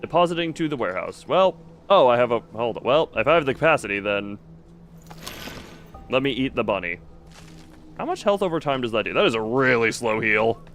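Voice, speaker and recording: A man's voice: medium pitch, very animated, a little fast, long pauses, fairly bright tone, soft background music. A man giving casual gameplay commentary to a live chat, rambling and thinking aloud as he plays. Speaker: video game streamer.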